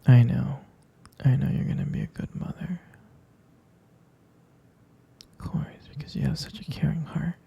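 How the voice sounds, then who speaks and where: A man playing a devoted, soothing expectant father in an intimate ASMR roleplay, murmuring affectionately in a soft, breathy, husky whisper. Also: medium pitch, exaggerated voice. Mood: tender, reassuring. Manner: slow, murmuring, reassuring, affectionate.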